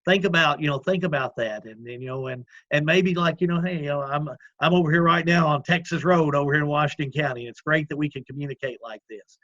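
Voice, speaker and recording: A man with a loud voice, an executive director talking earnestly in a casual interview, with many fillers and restarts. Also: a little high, fast, few pauses, dry recording, muffled recording. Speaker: community action executive director. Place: at home, remote call.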